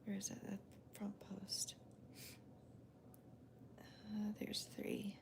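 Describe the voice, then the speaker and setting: A woman hosting a crochet video in a soft, whispery voice, calmly counting stitches aloud as she works. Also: medium pitch, very slow, gravelly. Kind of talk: soft-spoken crochet demonstration and chat.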